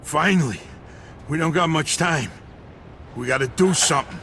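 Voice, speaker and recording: An actor playing a blunt, brash American mobster in a video game cutscene. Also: male voice, on the high side, normal speed, vocal fry, fairly bright tone, slight background noise. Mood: urgent. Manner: blunt, foul-mouthed.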